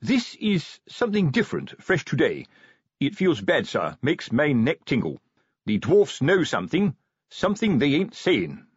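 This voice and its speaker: A man voicing a slow, earnest, deferential police sergeant in an audiobook, with folksy, ungrammatical speech. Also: a little high, wildly swooping pitch, husky, dry recording, muffled recording, voice pushed up, heavily acted. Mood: earnest, uneasy. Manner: folksy, ungrammatical, plodding deference with frequent "sir". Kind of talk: audiobook character acting.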